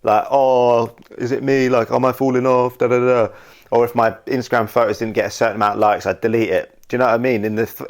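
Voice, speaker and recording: A young man talking candidly about his life, rambling and often saying "you know". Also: medium pitch. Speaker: YouTuber and wedding videographer. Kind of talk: reflective casual monologue.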